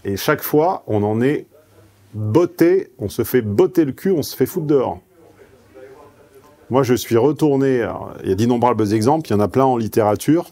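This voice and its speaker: A man's voice: medium pitch, lively intonation, moderate pace, mellow. A man who grew up in southeastern France giving a paid seminar, frank and salty, telling personal anecdotes. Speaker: seminar speaker. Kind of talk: seminar talk.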